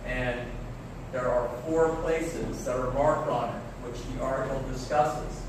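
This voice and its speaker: A man teaching a class, explaining words slowly and clearly and praising students often. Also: mid-range voice, noisy background. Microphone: camera far from the speaker. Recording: echoey classroom from far off.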